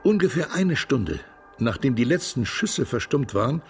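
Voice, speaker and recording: Guy acting a russian exile war veteran in a radio play, swinging pitch, reminiscing over music. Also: normal speed, natural voice. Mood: grave recollection. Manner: animated, reminiscing. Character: Russian émigré former cavalryman, animated drinking storyteller. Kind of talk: German radio drama acting.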